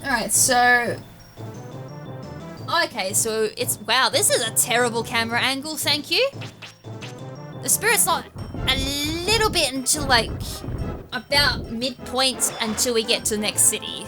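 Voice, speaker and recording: Higher-voiced woman commentating over game music. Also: lively intonation, moderate pace. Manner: occasional stutter. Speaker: gaming YouTuber, series host. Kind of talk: casual video game walkthrough commentary.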